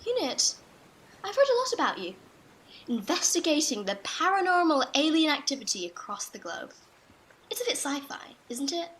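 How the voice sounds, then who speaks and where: An actress playing a sceptical, haughty general in an audio drama, wry and authoritative. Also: female voice, high voice, sing-song, moderate pace, fairly bright tone, rough recording.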